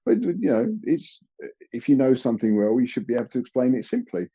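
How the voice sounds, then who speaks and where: A man who is a karate instructor, a guest on a podcast, speaking in a measured, encouraging way with fillers. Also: mid-range voice, big pitch swings, dark tone, breathy edge, muffled recording. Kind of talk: candid casual conversation.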